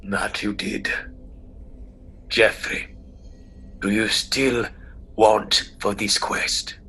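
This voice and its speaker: Radio play trickster spirit: sly, taunting, slow and low, gravelly whisper, faint noise. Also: male voice, level tone, sharp tone, exaggerated voice. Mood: mocking. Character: sly, mocking male trickster spirit testing questers.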